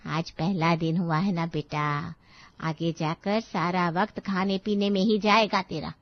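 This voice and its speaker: A woman voicing a caring middle-aged mother of a newlywed, gentle and knowing, in a storybook tale. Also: fairly low pitch, steady tone, a little slow, no room sound, lo-fi sound.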